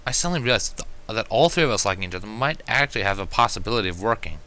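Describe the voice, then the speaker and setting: A man narrates a story in the first person, reading from a script. His voice sits on the low side, with a bright, forceful edge. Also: lively intonation, racing. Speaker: fan fiction reader.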